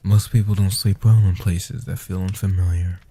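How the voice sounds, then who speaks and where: A man playing a centuries-old guardian vampire, gentle and protective, speaking softly and huskily in hushed, slow phrases for a comfort roleplay audio. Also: sits low, flat delivery. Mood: gentle, reassuring. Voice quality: soft-spoken, husky. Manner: slow, hushed delivery with long pauses.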